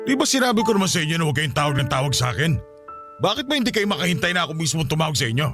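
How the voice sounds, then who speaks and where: A man's voice: fairly high pitch, very animated, very fast, over loud music. A man with a Filipino accent playing an irritable married man who scolds and blames his mistresses in a radio drama. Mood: angry, scolding. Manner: exasperated, scolding.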